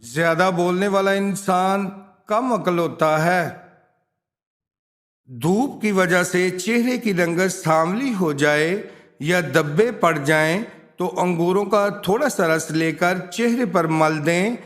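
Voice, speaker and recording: A man reading scripted tips and sayings as a voice-over narrator, in a measured, instructional way. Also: high voice, a bit bright. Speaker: narrator of a home remedies and quotes channel.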